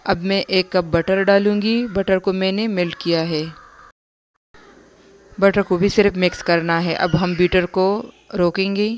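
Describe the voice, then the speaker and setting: A young woman host giving a calm, step-by-step recipe voice-over for her home cooking channel. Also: mid-range voice, a little slow, slight background noise. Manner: calm, instructive, repeats steps plainly.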